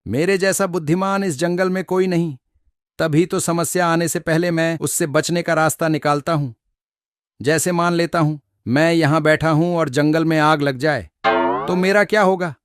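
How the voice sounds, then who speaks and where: A man playing a foolish, self-important and easily panicked donkey in a children's animated story, with a boastful, dramatic manner. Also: on the high side, normal speed, clear, resonant tone, dry recording, lightly acted. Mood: smug, musing.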